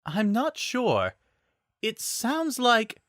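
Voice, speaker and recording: A man's voice: wildly swooping pitch, fast talker, no room sound, studio quality. A man doing a dainty, prim young lady. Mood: uneasy. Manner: high, soft and hesitant.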